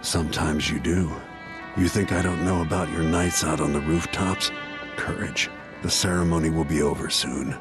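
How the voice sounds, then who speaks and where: A weary, protective royal bodyguard from a video game. His middle-aged voice is extremely deep. He speaks tersely, with grave restraint. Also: steady tone, moderate pace, music in the background. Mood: grave, reassuring. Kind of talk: dramatic game acting.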